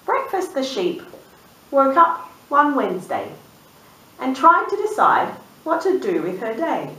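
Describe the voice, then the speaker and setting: A woman in her thirties reading a picture book aloud to young children, expressive and sing-song, drawing out words and putting questions to the listener. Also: sits high, measured pace. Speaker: children's storyteller and channel host. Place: indoors in a room, seated before a dark backdrop. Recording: roomy, distant microphone.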